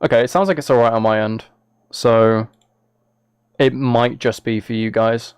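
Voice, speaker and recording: A man's voice: medium pitch, fairly even intonation, normal speed, clear, resonant tone, dry recording, clean studio sound. A man live streaming, talking casually and a little hesitantly to his viewers as he reads chat and reacts.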